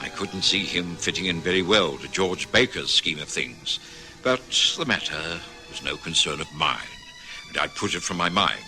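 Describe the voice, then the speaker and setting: Calm British doctor-narrator, measured, animated pitch, radio play. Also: male voice, over music, low-quality recording. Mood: reflective. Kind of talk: 1950s radio drama acting.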